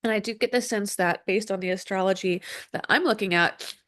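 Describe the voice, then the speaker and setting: A woman's voice: mid-range voice, fairly quick, fairly bright tone. A woman hosting an astrology podcast, speaking loosely with many ums and restarts, a little sniffly and congested from a cold. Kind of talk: casual solo podcast talk. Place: indoor room with dogs. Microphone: desk setup with camera and screen share.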